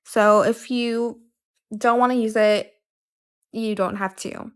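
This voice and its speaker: A woman with a fairly high voice hosts a casual screen tutorial. She talks informally and somewhat hesitantly, often correcting herself as she explains each step. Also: fairly even intonation, slow, clear, resonant tone. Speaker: tutorial host. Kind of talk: casual how-to tutorial narration.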